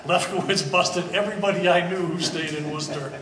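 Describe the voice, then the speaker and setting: A man's voice: fairly high pitch, steady tone, a little fast, crowd noise, close to an everyday voice. A man playing an easygoing old family friend in a stage play, with a wry, hesitant, joking manner. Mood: wry, casual. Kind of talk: one-act stage play performance. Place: gallery stage performance, indoors. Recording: echoey hall, distant mic, faint noise.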